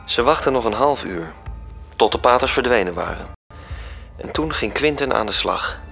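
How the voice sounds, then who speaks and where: An actor narrating a radio drama as a storytelling angel reporting events. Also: male voice, medium pitch, lively intonation, normal speed, creaky, fairly bright tone. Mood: measured, suspenseful. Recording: music under the voice.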